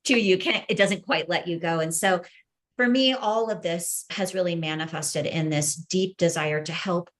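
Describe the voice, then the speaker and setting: A middle-aged woman with a slightly low voice talks as an interview guest. She is earnest and thoughtful, reflecting on her own experience and ideas in a conversational way. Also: normal speed. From grew up in the Middle East. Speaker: podcast guest, entrepreneur and coach. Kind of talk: reflective personal storytelling in an interview.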